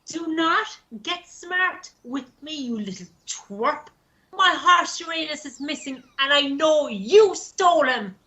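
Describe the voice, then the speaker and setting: High-pitched, irate Irish horse owner, acted by a woman. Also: animated, slow, pushed and bright, heavily acted. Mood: angry, confrontational. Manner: indignant, sarcastic, scornful. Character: irate, sharp-tongued woman who owns racehorses. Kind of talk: amateur radio play acting.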